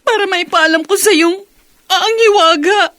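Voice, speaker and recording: An actress playing a confused, troubled young person in a radio drama, speaking hesitantly and timidly. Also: female voice, extremely high pitch, normal speed, sharp tone, no room sound, studio quality. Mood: tearful, troubled. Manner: hesitant, stammering.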